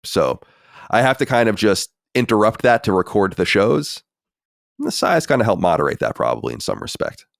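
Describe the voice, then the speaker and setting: A low-pitched man in his forties, talking in a lively, swinging voice as he co-hosts a casual podcast. He reads listener letters aloud and answers them bluntly, sighing and swearing along the way. The sound is dry and close, with no room echo. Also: a little fast, vocal fry. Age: 40s. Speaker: podcast co-host reading listener mail. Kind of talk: casual chat.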